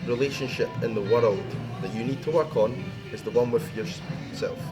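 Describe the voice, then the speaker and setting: A man speaks as a motivational coach over clear background music. His delivery is casual but blunt, and he repeats phrases for emphasis. His voice sits at an average male pitch. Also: moderate pace. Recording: music behind the talk.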